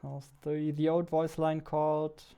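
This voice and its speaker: Slow-talking young man thinking aloud. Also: on the high side, little pitch movement, creaky, dark tone, clean tone. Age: 20s. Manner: muttering to himself in short fragments. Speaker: indie game developer, host. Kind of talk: casual thinking-aloud coding devlog. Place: indoors at a computer desk. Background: faint music, keyboard typing and clicking.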